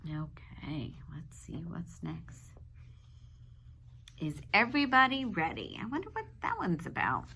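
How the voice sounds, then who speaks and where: A woman's voice: lowish voice, wildly swooping pitch, measured pace, gravelly, pushed and bright, husky. Woman reading aloud to young children. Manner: instructive, addressing young children with check-in questions. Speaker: classroom teacher reading a children's book aloud. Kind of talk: children's read-aloud with simple explanatory asides.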